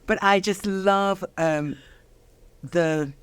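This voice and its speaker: Animated older woman with a London accent. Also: mid-range voice, a crowd in the background. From London, England. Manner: animated, laughs often, slows for emphasis. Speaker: guest, minister. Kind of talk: studio interview chat. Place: studio.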